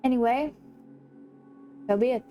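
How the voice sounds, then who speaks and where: Female let's player, higher-pitched, leisurely pace, casual and giggly, self-deprecating commentary. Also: measured pace, warm tone, faint music behind. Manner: chatty, self-mocking, giggly. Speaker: gaming video host. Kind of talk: casual let's play commentary, reading game dialogue aloud.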